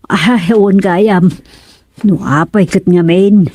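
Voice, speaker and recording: An actor with an Ilocano accent playing a chatty, teasing senior villager in a radio comedy drama, folksy and exclamatory. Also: male voice, sits high, fairly even intonation, slow, a little mellow, dry recording. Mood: complaining.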